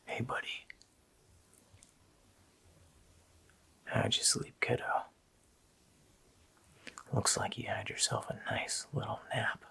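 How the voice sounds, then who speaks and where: A man voicing a sinister, taunting Christmas demon in a slow, hoarse, menacing whisper for an ASMR roleplay. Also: fairly low pitch, sharp tone, heavily acted. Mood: mock-gentle menace. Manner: slow, menacing, drawn-out phrasing.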